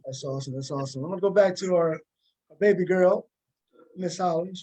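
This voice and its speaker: A man's voice: high voice, brisk, mellow, poor audio. A man hosting a casual interview show, talking with guests in an informal way. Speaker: talk show host.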